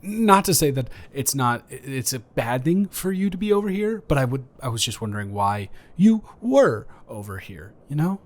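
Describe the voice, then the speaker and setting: A man's voice: medium pitch, sing-song, normal speed, few pauses, voice pushed up, lightly acted. A man playing an awkward, friendly neighbor in an improvised roleplay audio, stammering and rambling hesitantly. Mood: awkward, curious.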